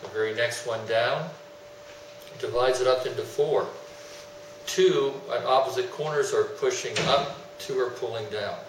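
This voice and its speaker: A man's voice: mid-range voice, measured pace. Man presenting, recorded from across an echoey room. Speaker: presenter explaining loudspeaker technology. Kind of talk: explanatory technical presentation. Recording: roomy, distant mic, faint hum.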